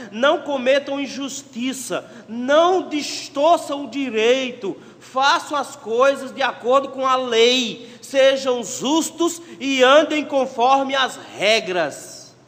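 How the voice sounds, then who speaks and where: A man with a Pernambuco accent preaching a sermon as pastor, emphatic and shifting between loud exhortation and quiet asides. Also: extremely high pitch. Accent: Pernambuco Portuguese. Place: church sanctuary. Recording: long church reverb.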